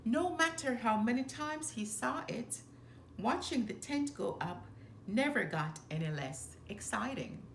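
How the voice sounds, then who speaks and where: A woman reading a children's story aloud in a deliberate, expressive storytelling manner. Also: fairly high pitch. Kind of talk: expressive scripted read-aloud narration for children. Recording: roomy echo, faint background noise.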